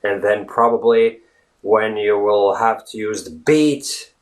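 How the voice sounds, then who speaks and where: A man's voice: fairly low pitch, slow. A man in his thirties from Latvia with a Latvian accent, teaching English in a casual video lesson, earnest and full of fillers and "right?". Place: indoors, virtual studio backdrop. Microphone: camera close-up, facing camera.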